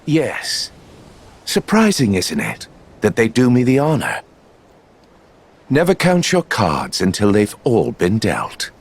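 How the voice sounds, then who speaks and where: Slow, swooping suave gambler villain. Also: male voice, on the low side, wildly swooping pitch, husky, exaggerated voice. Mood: suave, playful. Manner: genteel, unhurried, smugly theatrical. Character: suave, calculating male gambler villain in a dark organization. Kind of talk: video game cutscene acting.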